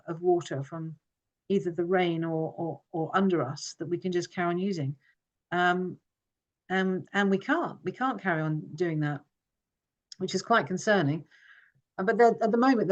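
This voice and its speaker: A woman's voice: fairly low pitch, darkish tone, dull audio. A woman who grew up in Hong Kong, giving an earnest forum talk with frequent fillers and restarts. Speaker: forum speaker. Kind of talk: informal presentation.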